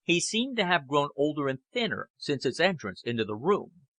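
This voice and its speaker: A man's voice: a little high, big pitch swings, normal speed, fairly bright tone, no room sound. A man reading a dramatic novel aloud as an audiobook narrator.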